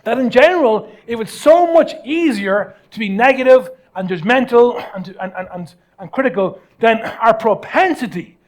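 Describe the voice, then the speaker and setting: A man's voice: very high voice, lively intonation, normal speed, few pauses. A man preaching a conversational, motivational sermon as a pastor, repeating phrases for emphasis.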